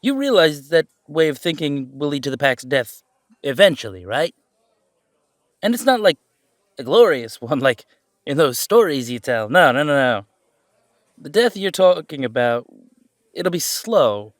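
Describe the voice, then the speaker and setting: A man's voice: on the high side, sing-song, measured pace, long pauses, dry recording, clean studio sound. A man playing a young adult werewolf son in an audio roleplay drama, sardonic and rebellious, with a wry, skeptical delivery. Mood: bitter, accusing.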